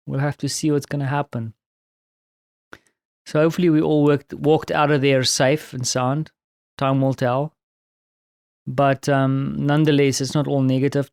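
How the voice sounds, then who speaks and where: A tired man with a South African accent and Afrikaans as his first language, talking in a casual, reflective, halting solo audio diary. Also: medium pitch, darkish tone, no echo, studio quality. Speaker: podcast host recounting his day.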